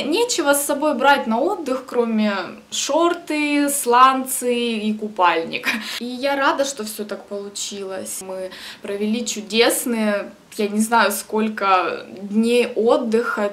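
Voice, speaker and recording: A woman's voice: on the high side, measured pace. Chatty young woman vlogging to camera. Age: young adult. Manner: chatty, lively gestures, preachy bursts. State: has a cold. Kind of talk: casual vlog talk to camera. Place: small room indoors.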